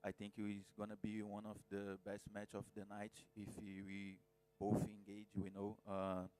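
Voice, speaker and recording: A male fighter speaking halting remarks full of fillers at a press conference. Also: fairly low pitch, monotone, dark tone.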